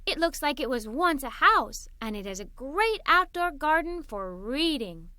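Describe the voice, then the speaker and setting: A woman reading a children's story aloud in an animated, expressive way. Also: high voice, measured pace, dry recording, clean studio sound. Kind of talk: scripted read-aloud storytelling for kids.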